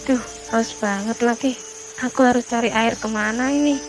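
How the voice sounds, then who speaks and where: A high-pitched, anxious teenage schoolgirl played by a woman from a horror cartoon. She is lost on a hike and talks plaintively to herself, with music underneath. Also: steady tone, normal speed, lightly acted. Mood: anxious, regretful. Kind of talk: animated horror story dubbing.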